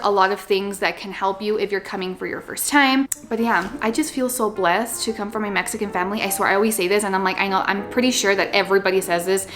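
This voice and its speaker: A woman's voice: mid-range voice, fairly bright tone. A young woman from Los Angeles, chatting casually to the camera at home, rambling and bubbly with lots of fillers. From Los Angeles, USA. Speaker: vlogger. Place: her home, indoors. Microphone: phone or camera on a stand.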